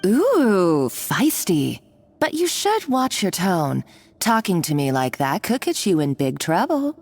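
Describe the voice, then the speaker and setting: A woman's voice: lowish voice, huge pitch swings, takes it slowly, darkish tone, faint music behind, heavily acted. An actress voicing a scheming, mocking woman villain in a video game, speaking in a bossy, condescending way. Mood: scornful. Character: scheming, taunting woman villain, cruel and gloating. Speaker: game character.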